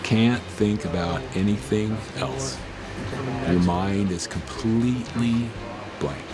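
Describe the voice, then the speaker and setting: Man, sixties, very deep voice, relaxed, musing, clear music behind. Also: slow pace. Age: 60s. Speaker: surfer. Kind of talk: casual interview talk.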